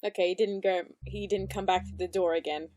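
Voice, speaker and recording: A woman's voice: mid-range voice, steady tone, fairly quick, a little mellow. A woman commentator giving casual reaction commentary, musing aloud in short puzzled asides.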